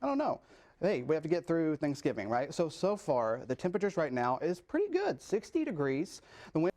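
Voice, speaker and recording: Young man with a higher-pitched voice, presenting weather. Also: wildly swooping pitch, fairly quick, a little mellow. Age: young adult. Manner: conversational, eager, repeats phrases. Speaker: student TV weather anchor and reporter. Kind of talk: chatty news and weather presenting. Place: TV studio and news reports.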